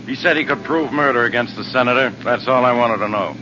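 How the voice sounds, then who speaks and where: An actor playing a gruff, blustering police inspector in a 1940s radio crime drama. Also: male voice, medium pitch, fast, close to an everyday voice. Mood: businesslike. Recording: muffled, very noisy old radio recording.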